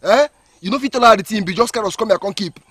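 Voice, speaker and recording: A man voicing a pushy young male friend with a Nigerian accent, bantering quickly in Pidgin. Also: sits high, a bit bright, husky, voice pushed up. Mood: confused.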